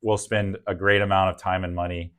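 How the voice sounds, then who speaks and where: Earnest low-voiced man telling his story. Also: flat delivery, fairly quick, a bit bright, clear, resonant tone. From Southern US. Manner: earnest, reflective, with frequent ums and restarts. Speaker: guest, real estate agent. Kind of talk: casual interview conversation.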